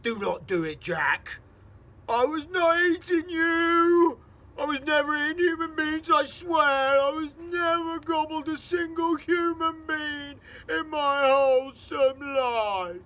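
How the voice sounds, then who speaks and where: A man's voice: very high voice, sing-song, drawn-out words, creaky, hardly any pauses, sharp tone, voice pushed up, exaggerated voice. A man voicing a huge child-eating giant who bellows and begs in panic. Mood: panicked pleading.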